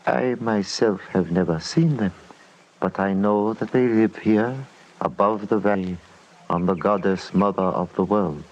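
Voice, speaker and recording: An actor playing a dignified old Tibetan lama in an old radio drama, speaking with stately, formal deliberation. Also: male voice, lowish voice, measured pace, darkish tone. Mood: dignified, gentle. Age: older. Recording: muffled, noisy old radio recording.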